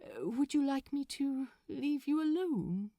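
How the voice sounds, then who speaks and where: A woman plays a prim male angel in a story reading. He is polite and easily flustered, choosing words precisely, with animated pitch and an unhurried pace. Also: no room sound, studio quality. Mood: flustered, anxious. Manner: hesitant, proper, precise wording.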